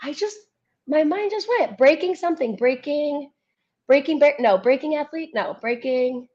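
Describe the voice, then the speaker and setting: A woman's voice: high voice, expressive, moderate pace, a little mellow, lo-fi sound. A woman in her twenties, a Spanish native speaker, chatting as a podcast guest in a bubbly way, laughing and searching for words. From United States. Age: 20s. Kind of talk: casual chat.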